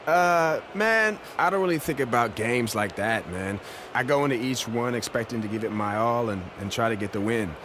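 A man voicing a modest young American basketball player answering a postgame interview, with clear noise behind. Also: medium pitch, expressive, moderate pace, natural voice. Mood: matter-of-fact. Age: young adult. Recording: noisy arena ambience.

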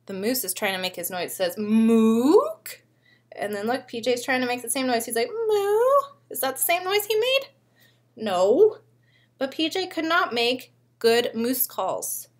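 A young woman reading a picture book aloud to small children like a preschool teacher, expressively and often pausing to ask them questions. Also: on the high side, expressive. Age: young adult. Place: living room at home.

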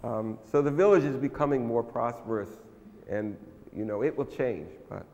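An older man, a guest lecturer, answering audience questions in a relaxed, hesitant way with many pauses. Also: mid-range voice, creaky, slight background noise. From United States. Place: large frescoed hall, indoors. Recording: long hall reverb.

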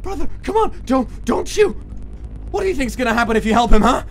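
Woman voicing an urgent, high-pitched skeleton boy. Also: very animated, brisk, a little hiss or hum. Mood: desperate, pleading. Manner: insistent, forceful, pleading. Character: urgent, protective young boy skeleton, hard-headed brother. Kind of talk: dramatic comic dub acting. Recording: music behind the voice.

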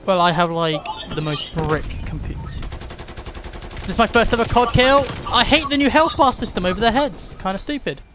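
A man's voice: sits high, moderate pace, background noise. A young man hosting a gaming video, chatting casually, swearing and laughing over the game. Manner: swears a lot, laughs, gets loud and excited. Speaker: gaming channel host. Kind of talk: casual gameplay chat. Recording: game audio with gunfire behind. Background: gunfire from the game.

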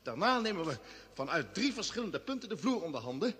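A man's voice: sits high, big pitch swings, a little fast, a bit bright, slightly rough, heavily acted. An actor playing a commanding, methodical male expedition leader in an old radio space drama, decisive and instructive. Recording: vintage radio drama, long studio reverb.

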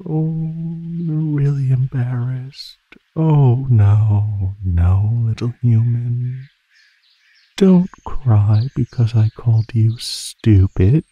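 A man voicing a teasing, menacing male naga in an ASMR roleplay, speaking in a mocking, condescending drawl with pauses. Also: medium pitch, husky, dull audio. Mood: gleeful belittling. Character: teasing, menacing male naga predator toying with captive prey.

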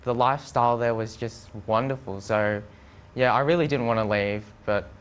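A man's voice: medium pitch, normal speed, clear, resonant tone. A young adult man giving an unscripted testimonial as a former exchange student. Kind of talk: unscripted interview testimonial to camera. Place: indoor studio with plain backdrop.

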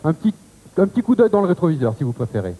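A man in his thirties runs a television game show with an upbeat, chatty manner, questioning contestants in quick turns. His voice sits high but has a mellow, soft-edged tone. He speaks into a handheld mic in a studio with a long reverb tail and a faint tape hiss behind him. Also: very animated. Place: TV studio set.

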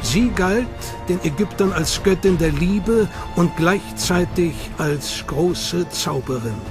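A man with a notably high voice delivers scripted documentary narration, measured and solemn. Loud music runs under his voice throughout.

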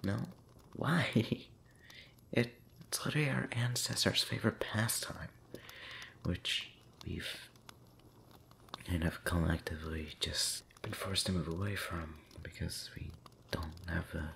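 A man speaking softly and breathily, often whispering, in an intimate ASMR roleplay monologue, rambling hesitantly with long pauses. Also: low-pitched, gravelly, slight background noise. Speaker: audio roleplay voice actor.

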